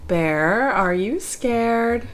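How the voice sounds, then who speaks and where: Fearless girl rabbit for a picture book, read by a woman, firm, matter-of-fact, expressive pitch, soft music behind. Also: very slow. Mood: questioning. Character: bold, fearless female rabbit, patient friend.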